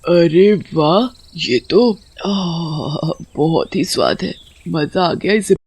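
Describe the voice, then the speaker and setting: A man voicing a tea-loving witch who is greedy but helpful, savouring her words in a Hindi animated story. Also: fairly high pitch, takes it slowly, husky, exaggerated voice. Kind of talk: Hindi animated horror-comedy story dialogue.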